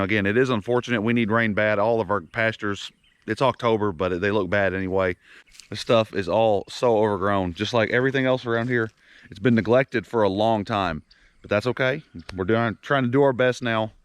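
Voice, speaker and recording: A young man with a Kentucky accent, hoarse from a head cold, chatting easily to camera outdoors by a farm pond. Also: fairly low pitch, fairly even intonation, fairly quick, no room sound. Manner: easygoing, rambling, self-deprecating. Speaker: farm vlogger. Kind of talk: casual vlog talk to camera.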